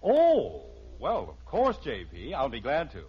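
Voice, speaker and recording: A man's voice: medium pitch, wildly swooping pitch, measured pace, slightly rough, full character voice. An actor with an American accent playing an exasperated, blustering middle-aged suburban father in a 1950s radio sitcom. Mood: eager to please. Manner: blustering, flustered. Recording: dull, noisy old radio recording.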